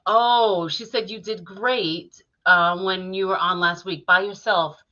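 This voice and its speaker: A woman's voice: medium pitch, steady tone, pushed and bright, dull audio. Slightly nasal woman co-host. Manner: playful, quick to laugh. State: recovering from a head cold. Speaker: talk show co-host. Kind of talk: casual live-stream chat.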